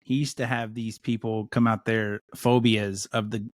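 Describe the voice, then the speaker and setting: Male podcast host, dry close sound, jokey, quick questions. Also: lowish voice, level tone, no echo. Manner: asks quick questions, jokes and laughs. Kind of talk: casual interview chat.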